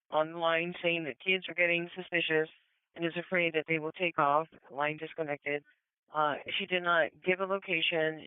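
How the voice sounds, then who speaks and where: A man's voice: fairly high pitch, clear, resonant tone, no room sound. A man working as a police dispatcher, giving terse dispatch calls over a two-way radio. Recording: tinny narrowband police radio scanner audio.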